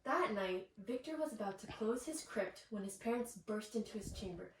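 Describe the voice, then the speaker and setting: High-voiced woman reading aloud, echoey room. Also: lively intonation, moderate pace. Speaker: reader of a children's bedtime story. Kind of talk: animated storybook read-aloud with asides to children. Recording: echoey room, distant mic, faint noise.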